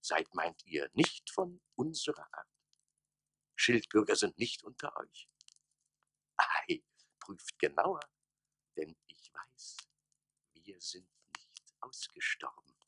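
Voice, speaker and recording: An actor playing a pompous, forlorn mayor of a ruined town of fools in a radio play, with a hoarse, breathy rasp and theatrical, declamatory delivery. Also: male voice, lowish voice, very animated, fairly bright tone, no room sound, full character voice, poor audio. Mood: pleading. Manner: theatrical, declamatory, slow dramatic pauses. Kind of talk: German radio play monologue.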